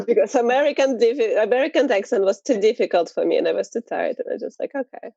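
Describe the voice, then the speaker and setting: A woman hosting a video call as a teacher, giving quick, encouraging replies. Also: on the high side, lively intonation, gravelly, dark tone, breathy edge, no room sound. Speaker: host teacher. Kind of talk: casual video-call chat. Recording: muffled video-call audio.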